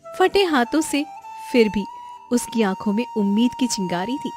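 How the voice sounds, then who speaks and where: A woman's voice: on the high side, lively intonation, moderate pace, over music. A woman giving scripted, dramatic narration of a moral story.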